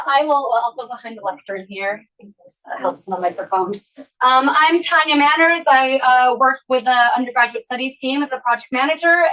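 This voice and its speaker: A woman's voice: high-pitched, a bit bright. A woman informally moderating a panel at an event, speaking at some distance from the microphone. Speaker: panel moderator, project manager.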